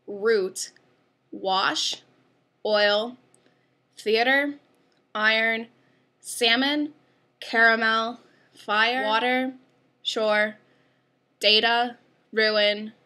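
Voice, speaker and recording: A woman's voice: fairly high pitch, sharp tone. A young woman with an upstate New York accent, chatting casually through an accent tag video, rambling with many fillers. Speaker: vlogger.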